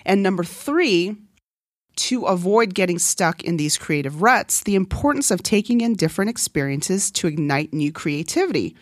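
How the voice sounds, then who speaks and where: Woman podcast host, middle-aged, from Guam, Korean first language; lowish voice, dry close sound, chatty storyteller. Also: lively intonation, moderate pace, dry recording. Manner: chatty, laughs often. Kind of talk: personal storytelling monologue.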